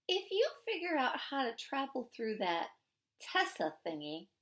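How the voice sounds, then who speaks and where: Woman playing a high-pitched, earnest little girl. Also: sing-song, a little slow, a bit bright, heavily acted. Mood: hesitant. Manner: wistful, hesitant, childlike. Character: earnest, dreamy ten-year-old girl, adoring daughter.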